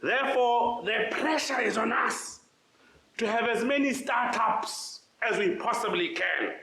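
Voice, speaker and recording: Emphatic male keynote speaker, high-pitched, booming, roomy echo. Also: measured pace. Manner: loud, emphatic, oratorical. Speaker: conference speaker, social investment practitioner. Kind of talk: conference keynote speech. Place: conference venue.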